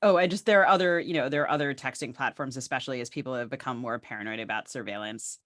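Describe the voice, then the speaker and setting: Female co-host, reflective book-talk, feels her way through phrases haltingly. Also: rumbling low, a bit bright. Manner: halting, searching for words. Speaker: podcast co-host. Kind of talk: thoughtful literary conversation.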